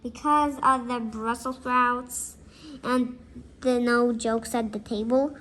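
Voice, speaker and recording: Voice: mid-range voice, steady tone, slow, pushed and bright, a little hiss or hum. A young female reader playing a timid, worried little girl in a storybook.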